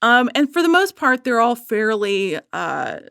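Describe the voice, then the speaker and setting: A woman with a fairly high voice talks casually as a podcast guest. She gushes like a devoted fan, leaning on words and repeating them for emphasis. The sound is close and dry. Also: a little slow, vocal fry, clean tone, no echo. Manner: gushing, animated fan enthusiasm with emphatic repetition.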